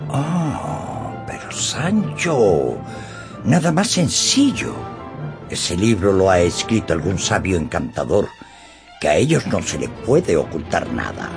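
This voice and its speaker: An actor playing a deluded, grandiose old gentleman knight in a radio drama, theatrical and lofty. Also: low-pitched, wildly swooping pitch, slow pace, creaky, over music, full character voice. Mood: lofty assurance.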